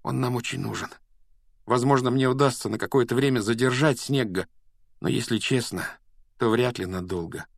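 A man's voice: mid-range voice, moderate pace, gravelly, no echo. A man reads as a young schoolboy wizard in a storybook. He is brave but modest, speaking in an earnest, plain way. The sound is close and dry. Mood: resolute, instructing.

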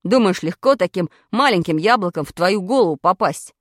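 Woman doing a brash, lowered-voice schoolboy schemer. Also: animated, fairly quick, no echo, clean studio sound. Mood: defensive. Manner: insistent, self-justifying. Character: brash, clumsy, excuse-making schoolboy schemer. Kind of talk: children's story character.

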